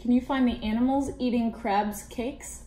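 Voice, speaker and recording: A woman in her twenties reading a children's picture book aloud expressively, in a deliberate, animated way with questions for young listeners. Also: a little high. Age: 20s. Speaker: read-aloud storyteller for children. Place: small indoor room at a table. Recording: echoey room, background noise with clicks.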